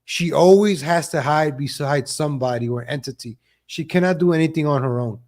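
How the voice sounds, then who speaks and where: A man with a fairly high voice hosts a live commentary stream. He talks to his viewers in a mocking, sarcastic way and often repeats phrases to drive a point home. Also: a little fast, darkish tone. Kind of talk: live political gossip commentary to chat viewers.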